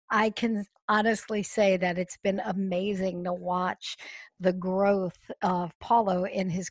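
A woman's voice: mid-range voice, no room sound. A woman hosts an online session and introduces the next presenter with plain enthusiasm. She speaks in a friendly way, with some hesitant fillers. Her voice is dry and close on the mic. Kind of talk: introducing a speaker on a video call. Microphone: computer mic on video call.

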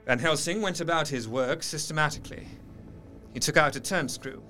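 A man's voice: a little high, moderate pace, soft background music. A man playing a proper, troubled Victorian doctor, narrating his own horror story formally and with feeling in an audio drama. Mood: uneasy recounting. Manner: formal, expressive. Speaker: narrator in character.

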